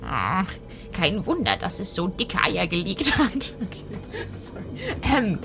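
A woman speaks slowly and hesitantly as she improvises her part in a role-playing game, slipping between play and casual chat. Light music sits behind her. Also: mid-range voice, wildly swooping pitch, vocal fry.

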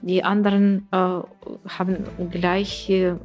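A woman's voice: mid-range voice, little pitch movement, slow, dull audio, faint music behind. A middle-aged woman from Ukraine, a native Russian speaker working as a teacher, speaking in an interview with many hesitations.

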